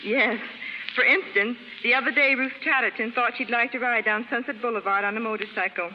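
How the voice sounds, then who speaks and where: A woman's voice: fairly high pitch, fairly even intonation, brisk. Woman in echoing old radio testimonial. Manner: precise, rehearsed delivery. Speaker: beauty salon manicurist giving testimonial. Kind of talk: scripted 1930s radio advertising interview. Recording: muffled, echoing 1930s radio broadcast, faint hiss.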